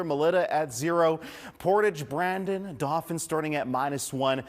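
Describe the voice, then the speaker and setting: A man presenting weather and traffic in a conversational broadcast style. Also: a little high. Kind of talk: unscripted-sounding broadcast forecast presentation. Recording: long reverberant room sound.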